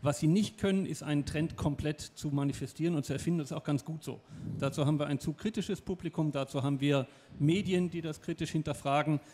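A man's voice: a little high, fast, darkish tone, a little hiss or hum. A middle-aged man speaking on a stage panel into a handheld microphone, explaining his views with confidence and personal anecdotes. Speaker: panelist, advertising expert. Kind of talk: panel discussion. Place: stage of a lecture hall.

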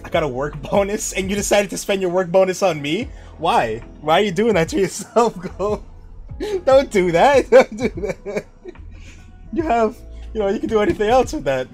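A man's voice: high-pitched, normal speed, soft background music. A young man chatting casually on a live stream, rambling and swearing as he reads viewer messages. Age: young adult. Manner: rambling, swearing, laughing, with animated bursts. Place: dim room at a desk.

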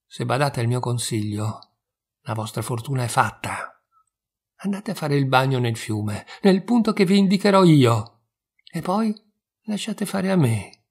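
A man's voice: medium pitch, lively intonation, measured pace, husky. A man voicing a clever, sly male talking cat in a fairy tale reading, speaking earnestly and persuasively. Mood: scheming.

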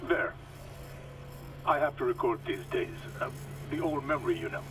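An actor playing a scheming doctor dictating an audio log in a video game, clinical and falsely jovial. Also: male voice, mid-range voice, very animated, normal speed, lightly acted. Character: smooth-talking, scheming doctor dictating experiment logs. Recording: distant noisy radio-like audio log.

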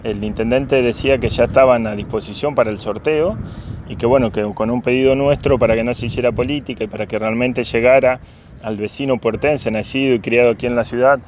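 A man's voice: mid-range voice, fairly even intonation, brisk, darkish tone. A man in his forties with an Argentine accent, a city councillor speaking earnestly and insistently in an outdoor interview, often restarting his phrases. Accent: Argentine Spanish. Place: open field by a paved street, outdoors. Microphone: camera at close range. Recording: outdoor wind and traffic noise.

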